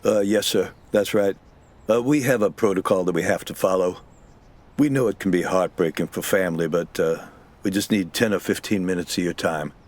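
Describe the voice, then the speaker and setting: An actor playing a polite, procedural police officer with a slightly hesitant, official manner in an audio drama. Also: male voice, deep voice, normal speed. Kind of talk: naturalistic audio drama acting.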